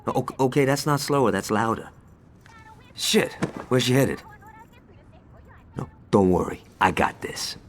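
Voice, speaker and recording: An actor playing a cocky, hot-tempered young gang lieutenant in a video game, brash and sarcastic, with a lot of swearing. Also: male voice, mid-range voice, expressive, unhurried, long pauses, slight background noise. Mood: wry. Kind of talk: video game cutscene acting.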